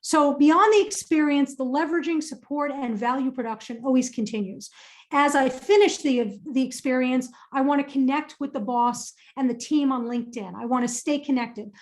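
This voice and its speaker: A woman's voice: sits high, normal speed. A woman presenting a career webinar and answering audience questions in an upbeat, emphatic way, often repeating phrases for stress.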